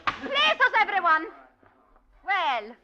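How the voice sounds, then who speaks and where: A woman's voice: very high voice, very animated, very slow, full character voice. An actress playing a cutting, domineering female choreographer in an old radio drama. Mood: icy. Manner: crisp, cutting, commanding. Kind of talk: 1940s radio acting. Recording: muffled old radio recording, faint hiss.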